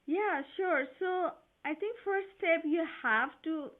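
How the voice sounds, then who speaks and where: A woman whose first language is Nepali, speaking English as a physician guest in an earnest, halting way with frequent restarts, over a video call. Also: very high-pitched, phone-quality sound. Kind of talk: casual interview chat.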